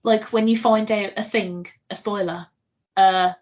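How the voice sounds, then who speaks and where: A British woman with a husky voice, co-hosting a podcast in casual, giggly, self-mocking and sweary chat. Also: medium pitch, fairly even intonation, a little slow, a little mellow. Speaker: podcast co-host.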